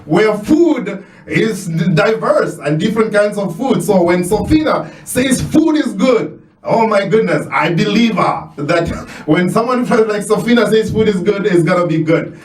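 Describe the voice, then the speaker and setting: A man's voice: high voice, unhurried, reverberant, recorded from a distance, rough recording. Effusive Kenyan man hosting a radio show. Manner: exclaims often, animated and effusive. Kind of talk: radio interview. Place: radio studio.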